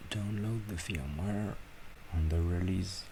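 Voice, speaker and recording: A man talking through a software tutorial step by step, with halting pauses between instructions. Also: low voice, creaky, noisy background. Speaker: tutorial presenter. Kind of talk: screen-recorded software tutorial.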